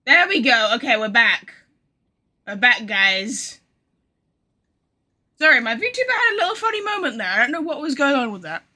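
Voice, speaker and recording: A woman game streamer giving casual, joking live commentary, sarcastic and quick to swear. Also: fairly high pitch, big pitch swings, bright, forceful tone.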